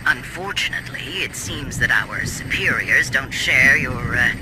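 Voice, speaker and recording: An actor playing a polite but condescending corporate director in a sci-fi video game, speaking formally. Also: male voice, medium pitch, expressive, unhurried, noisy background, close to an everyday voice. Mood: smug, grave. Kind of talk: cinematic video game acting.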